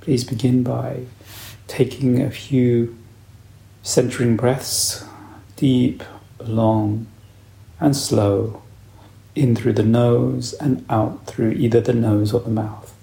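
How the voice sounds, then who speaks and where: A man leading a guided meditation as a teacher, calm, unhurried and soothing in his instruction. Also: medium pitch, vocal fry, reverberant.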